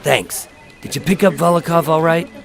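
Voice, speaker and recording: A man's voice: fairly high pitch, fairly even intonation, moderate pace, vocal fry. A man playing a weary undercover FBI agent and narrator in a scripted radio drama. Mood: casual, assured. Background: prominent dramatic music.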